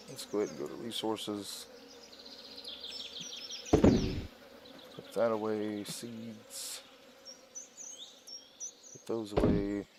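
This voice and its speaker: A man's voice: fairly low pitch, heavy background noise. A man giving casual let's-play commentary on a video game, talking through each step as he plays. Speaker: gaming video host. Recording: game music loud behind voice. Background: loud video game music and sounds.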